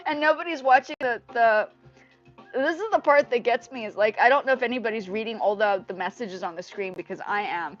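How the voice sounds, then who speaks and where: A woman from Indonesia, a native Indonesian speaker, hosting a casual livestream in a rambling, animated way, often repeating her phrases. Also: a little high, fairly quick, faint music behind. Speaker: livestream host. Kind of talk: casual livestream chat with viewers. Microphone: earpiece mic on a phone. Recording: dull lo-fi livestream audio through earpiece mic.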